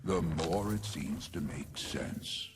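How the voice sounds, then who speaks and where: A man voicing a gentle, cheerful tree-like nature spirit in a video game, with a playful, dreamy, singsong delivery. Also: a bass voice, slow, over loud music. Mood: dreamy, content. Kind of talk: video game character voice lines.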